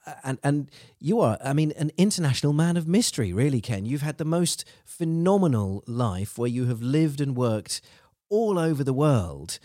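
A man's voice: medium pitch, big pitch swings, normal speed, warm tone, dry recording, clean studio sound. A man from the United Kingdom hosting a podcast interview, eager and rambling with many restarts. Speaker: podcast host.

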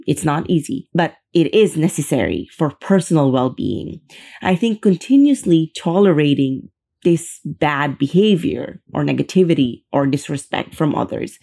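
A woman's voice: low-pitched, creaky, dark tone. A young woman hosting her own podcast, talking earnestly and chattily, often repeating words for emphasis. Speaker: podcast host. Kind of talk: casual solo podcast talk.

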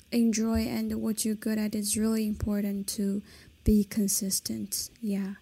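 A woman's voice: medium pitch, creaky, mellow. A woman in her late twenties from China, a native Kazakh speaker, hosting a casual solo podcast in English and talking hesitantly with many fillers and restarts. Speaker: podcast host.

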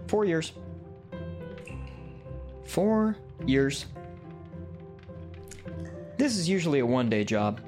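An officious male pit boss acted by a man in a comedy audio drama, with a somewhat high voice. He delivers pompous, deadpan corporate patter with thinning patience, over light music. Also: takes it slowly, long pauses. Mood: exasperated.

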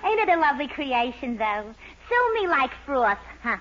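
A brassy lingerie-shop owner puts on a phony French accent that keeps slipping into drawling street talk. Her voice rides high and swoops around. The old radio broadcast is dull and hissy, with a loud studio audience. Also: very animated, moderate pace. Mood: sly. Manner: street-talking, calls customers dearie, drawling. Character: brassy middle-aged woman shopkeeper faking a French accent. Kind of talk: 1940s radio sitcom acting.

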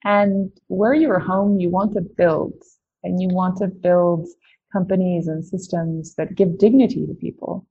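A woman's voice: lowish voice, fairly even intonation, measured pace, highs cut off, a little hiss or hum. A woman from the Netherlands, a company founder answering interview questions, thinking aloud with many "like"s and restarts. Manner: lots of "like" and restarts, thoughtful.

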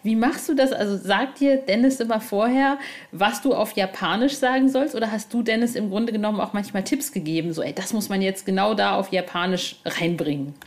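A woman's voice: mid-range voice, brisk. A woman hosting a casual podcast interview, chatting in an informal, enthusiastic way.

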